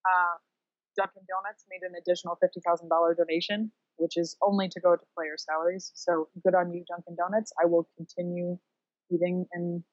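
A woman with a lowish voice chats casually as a podcast co-host, dropping wry asides as she goes. The sound is dry and close, with a slightly muffled, dull edge to the recording. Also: level tone, a little fast, no echo.

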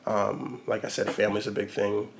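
Low gravelly guy in his 20s, lots of ums, kinda laid back, faint hiss behind. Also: flat delivery, normal speed, low-quality recording. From Portland, Oregon, USA. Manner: hesitant, many ums and likes. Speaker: interviewee. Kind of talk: relaxed conversational interview.

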